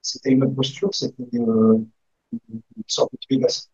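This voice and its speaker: A man's voice: mid-range voice, big pitch swings, moderate pace, gravelly, darkish tone, husky, no echo. A man in the audience of a university conference, stammering and searching for words as he comments and asks a question. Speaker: audience member asking a question. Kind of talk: academic discussion. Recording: dull muffled sound.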